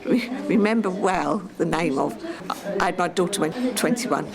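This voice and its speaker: A woman's voice: fairly low pitch, moderate pace, creaky. An older woman, casually reminiscing in conversation. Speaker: memory club member taking part in a drama. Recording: busy room with loud background chatter.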